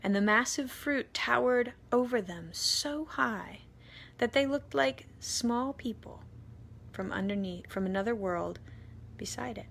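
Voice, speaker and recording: A woman reading a children's story aloud expressively, deliberate in manner, with dramatic pauses. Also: on the high side, animated, vocal fry, fairly bright tone. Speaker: storytime reader, priest.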